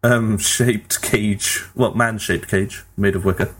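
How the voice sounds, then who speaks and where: A man's voice: on the low side, fairly even intonation, slow, a bit bright, slightly rough. A man chatting casually as a podcast host, with a wry, pedantic way of putting things. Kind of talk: casual gaming podcast chat.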